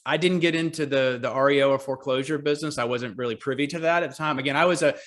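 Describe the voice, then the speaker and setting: Relaxed Southern man in his forties. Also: medium pitch, very fast. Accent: Southern American. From Georgia, US. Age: 40s. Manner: relaxed, chatty, self-deprecating humor. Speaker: real estate agent and team leader. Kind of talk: casual interview on a call. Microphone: video call.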